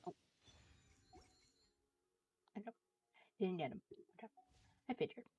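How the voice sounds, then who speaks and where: A woman chats casually on a game stream in a mellow, slightly husky voice. She talks hesitantly, drifting between half-finished thoughts. The audio is a little dull, with faint music behind her. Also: medium pitch, animated, slow pace. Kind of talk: casual livestream chat while gaming.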